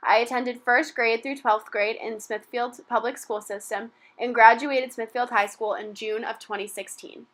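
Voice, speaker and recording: A young woman from Rhode Island, a university student, reading a prepared school presentation aloud in a steady, careful way. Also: on the high side, moderate pace, few pauses. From Rhode Island, USA. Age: young adult.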